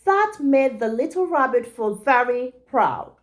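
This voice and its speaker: A woman's voice: high voice, sing-song, measured pace, slight background noise. A woman reading a storybook aloud to young children like a teacher, with simple asides to them.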